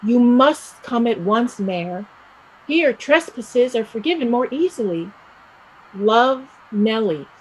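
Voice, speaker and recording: Woman acting a husky, restless young Irish villager. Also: on the high side, animated, slow pace, darkish tone, exaggerated voice, poor audio. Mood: earnest, hopeful. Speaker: actor in a staged play reading. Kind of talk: dramatic stage play reading.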